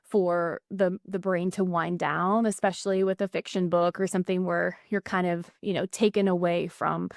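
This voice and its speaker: A woman's voice: on the low side, little pitch movement, normal speed, vocal fry, hardly any pauses, dry recording. Woman podcast guest, explanatory, chatty, dry close sound. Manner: explanatory, frequent "like" fillers. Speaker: neuroscientist guest. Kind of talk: casual podcast conversation.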